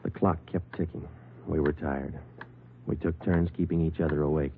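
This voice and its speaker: An actor playing a matter-of-fact American police sergeant in an old radio detective drama, speaking tersely. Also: male voice, extremely low pitch, normal speed, slight background noise. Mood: flat, weary. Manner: terse, deadpan. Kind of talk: 1950s radio drama acting and narration. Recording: muffled old radio recording, music behind.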